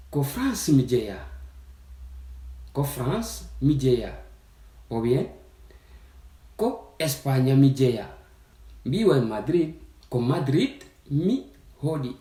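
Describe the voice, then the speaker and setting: Slow-speaking man teaching, echoey room. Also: mid-range voice, warm tone. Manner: deliberate, repeats phrases. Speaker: language teacher. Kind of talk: language lesson, phrase by phrase with repetition. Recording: roomy, echoing sound.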